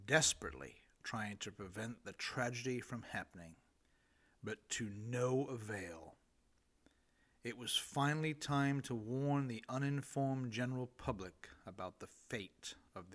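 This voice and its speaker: A man reading his own humorous book aloud as an audiobook, with deliberate, dramatic phrasing and pauses between phrases. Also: mid-range voice, a bit bright. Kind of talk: audiobook narration of humorous essay.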